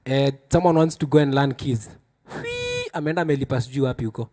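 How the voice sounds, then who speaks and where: A man's voice: mid-range voice, huge pitch swings, fast, warm tone. A Kenyan man moderating a casual panel talk, interjecting and prompting the speakers.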